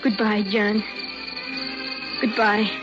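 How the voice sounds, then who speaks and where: A woman's voice: medium pitch, little pitch movement, very slow, music in the background. A woman playing an earnest, devoted young boy in an old-time radio drama, asking short, anxious, pleading questions. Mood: anxious, tearful. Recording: dull old radio recording, faint noise.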